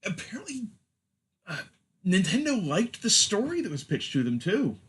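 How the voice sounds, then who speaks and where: A man's voice: high voice, wildly swooping pitch, normal speed, fairly bright tone. A man hosting a casual film chat, with a wry, joking manner. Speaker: podcast host.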